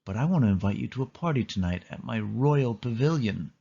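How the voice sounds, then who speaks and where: A man's voice: mid-range voice, animated, fairly quick, vocal fry, mellow, breathy edge, lo-fi sound. A man voicing a courteous, smitten young prince in a children's storybook.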